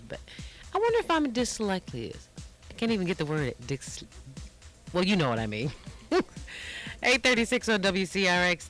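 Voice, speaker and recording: Lively, playful American woman radio DJ over music. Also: deep voice. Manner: chatty, playful, self-teasing.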